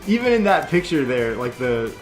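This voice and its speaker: A man's voice: medium pitch, sing-song, normal speed. A man co-hosting a gaming video, giving casual, jokey gameplay commentary and prone to playful exclamations. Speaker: gaming video co-host and player. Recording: game music behind the voice. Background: video game music.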